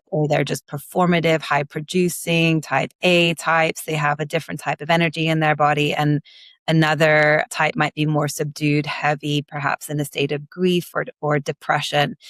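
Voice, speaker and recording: A woman osteopath from Belgium, raised in London, with a blended American, Belgian and British accent, explaining her work in measured terms in a podcast interview. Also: low-pitched, no echo. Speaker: manual osteopath guest.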